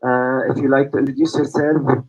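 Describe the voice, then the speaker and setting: A man's voice: medium pitch, little pitch movement, fairly quick, a little mellow, muffled recording, soft background music. A man from Syria, founder and host of a yoga school, speaking to online viewers and asking repeated rhetorical questions. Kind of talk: reflective talk to online viewers.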